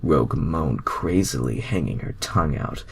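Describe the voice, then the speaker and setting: A man's voice: extremely low pitch, animated, moderate pace, gravelly, slightly rough. A man reading fan fiction aloud as a casual amateur narrator, stumbling over and misreading words.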